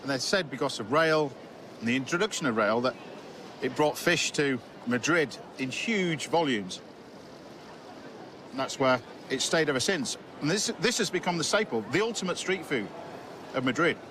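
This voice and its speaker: A man's voice: on the high side, expressive, moderate pace. A man, a chef and TV host, talking casually and enthusiastically to camera about food while out on a city street. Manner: chatty, enthusiastic, food-focused asides. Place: busy city street outdoors. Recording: outdoor location sound with street noise.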